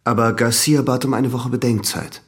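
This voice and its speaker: Mid-pitched, methodical examining magistrate played by a man. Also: fairly even intonation, lightly acted. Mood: probing, controlled. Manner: measured, formal, insistent questioning.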